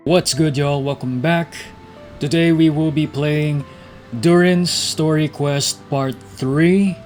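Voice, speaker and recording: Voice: mid-range voice, fairly even intonation, slow pace, a little mellow, soft background music. A young adult gaming host, chatting casually in a slangy, laid-back way. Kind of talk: casual gameplay reaction chat.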